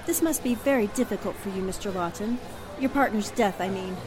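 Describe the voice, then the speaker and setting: An actress playing a polite, self-possessed and inquisitive newspaper reporter in a 1940s radio drama style. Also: female voice, mid-range voice, a little fast, natural voice. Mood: sympathetic. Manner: polite, crisply questioning. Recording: music and crowd murmur behind the voice.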